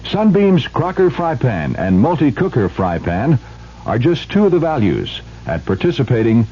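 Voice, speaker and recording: A man gives an informative sales read in a mellow, lively voice. The old radio spot sounds muffled with faint noise. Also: mid-range voice, moderate pace. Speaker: commercial spokesman. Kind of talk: scripted 1970s radio ad read. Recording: muffled, hissy 1970s radio ad.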